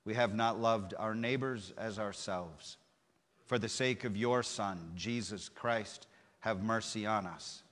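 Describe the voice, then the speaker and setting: Middle-aged pastor in a reverberant church. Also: male voice, on the low side, fairly bright tone. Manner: measured, solemn liturgical speech. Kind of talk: leading liturgy. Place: church sanctuary. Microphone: lectern mic.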